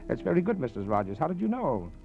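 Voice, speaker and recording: An actor with an American accent playing a menacing, terse killer who is coolly polite, in curt, hesitant 1940s radio drama style. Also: male voice, on the high side, sing-song, fast, creaky, music in the background. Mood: mockingly polite. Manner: curt, hesitant, quietly threatening. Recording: dull 1940s radio disc transfer.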